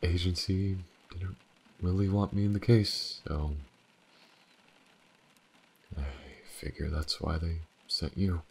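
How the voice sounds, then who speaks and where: A man playing a world-weary but tender detective in an intimate audio roleplay, with halting, shy, stammering speech. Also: fairly even intonation, full character voice. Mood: somber, halting. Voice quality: very deep, gravelly, husky. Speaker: voice actor. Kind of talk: audio roleplay, intimate acting addressed to the listener.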